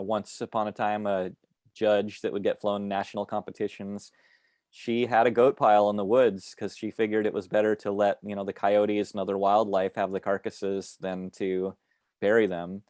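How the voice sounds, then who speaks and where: Low-voiced man reading his fiction. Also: a little mellow, no room sound, studio quality. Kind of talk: reading a story aloud. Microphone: home computer mic on a video call.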